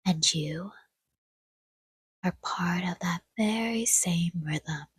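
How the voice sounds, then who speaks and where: A woman speaks in a very breathy, whispery voice, low in pitch and extremely unhurried. She guides a sleep meditation gently and soothingly, leaving long pauses between short, hushed phrases. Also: no room sound, clean studio sound. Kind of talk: guided sleep meditation, scripted, soft-spoken.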